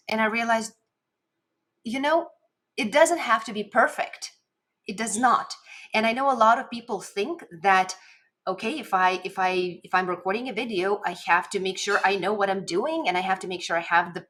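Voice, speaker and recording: A woman with a Lithuanian accent speaks at a middle pitch in a casual instructional vlog. She is conversational and encouraging, leaning on important words as a coach would. She records at her desk in a home office. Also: a bit bright. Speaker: video coach and host. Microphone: desk microphone at a webcam setup.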